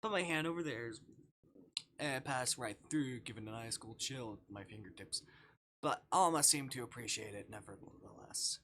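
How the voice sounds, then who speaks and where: A man with a bright, forward voice is live-streaming a visual novel. He reads aloud in a dramatic way and drops in playful asides. There is a little noise in the background. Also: medium pitch, normal speed.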